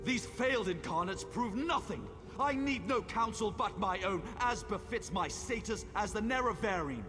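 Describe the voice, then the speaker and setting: An actor playing an arrogant, defiant zealot in a fantasy video game, declaiming boastfully and forcefully. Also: male voice, sits high, lively intonation, moderate pace. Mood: defiant, angry. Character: arrogant male zealot, defiant self-proclaimed chosen one. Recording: long hall reverb, music behind.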